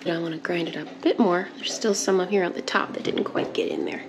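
A woman's voice: on the low side, fairly even intonation, quick pace. Chatty young woman in echoey kitchen. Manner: chatty, laughs easily, coughs at pepper fumes. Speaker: homestead cooking vlogger. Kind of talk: casual cooking demonstration. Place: home kitchen, indoors. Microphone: wide camera on the counter. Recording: echoey kitchen with background noise.

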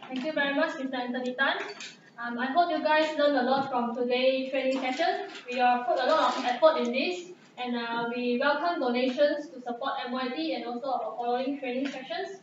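A woman's voice: high-pitched, a little fast, lo-fi sound, slight background noise. A young woman making hesitant closing announcements into a handheld microphone in a classroom. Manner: hesitant, many fillers. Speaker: event organizer. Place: classroom with projector. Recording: echoey room from far off.

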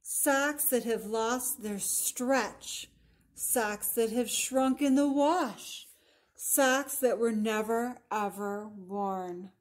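A woman voicing a kindly, helpful male storybook friend with a gentle, reassuring manner. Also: on the high side, drawn-out words. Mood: mournful. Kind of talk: children's storybook character voice.

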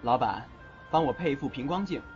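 Actor as a lovestruck young man, lively intonation, tender, flustered, audio drama, music underneath. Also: medium pitch, brisk, muffled recording, close to an everyday voice. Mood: polite. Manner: expressive, tender, exasperated. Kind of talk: audio drama acting with inner monologue.

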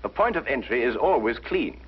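An actor playing a brilliant, haughty English gentleman detective, precise and pompous, in the manner of 1950s television drama. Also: mid-range voice, sing-song, brisk, husky. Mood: lecturing. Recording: dull 1950s broadcast soundtrack, faint music.

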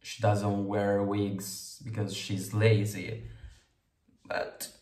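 A man's voice: on the low side, monotone, darkish tone, roomy sound. A young man hosting a casual reaction video from his bedroom, chatty and laughing often, picked up by a webcam at desk distance. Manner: chatty, laughs often, slow deliberate phrasing. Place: bedroom, indoors.

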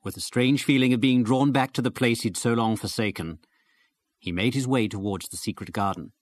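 A man's voice: mid-range voice, brisk, no echo. A man reading classic fiction aloud as a radio presenter, in scripted narration. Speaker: presenter and reader.

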